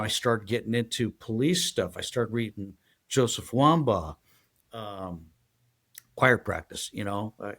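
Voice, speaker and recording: A man in his sixties from San Diego, a guest telling his life story in a halting, rambling way full of fillers. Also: mid-range voice, a bit bright. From San Diego, USA. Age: 60s. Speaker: guest, retired federal agent. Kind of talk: casual interview storytelling.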